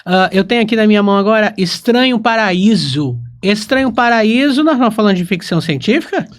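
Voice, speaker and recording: A man's voice: sits high, expressive, brisk. A man with a Brazilian accent hosting a casual conversational interview, reacting and asking many curious questions. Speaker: podcast host, interviewer.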